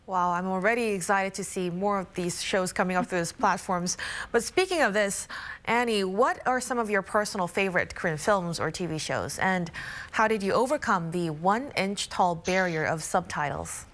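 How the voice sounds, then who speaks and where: A woman's voice: mid-range voice, expressive, moderate pace, fairly bright tone. Upbeat woman hosting news interview. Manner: upbeat, friendly questions. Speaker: news program host. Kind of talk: interview moderating.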